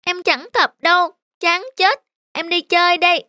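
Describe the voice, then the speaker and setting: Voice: very high-pitched, fairly even intonation, moderate pace. A performer voicing a stubborn, whiny, carefree youngest child chameleon in a children's cartoon, childish and pouting. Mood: bored, dismissive.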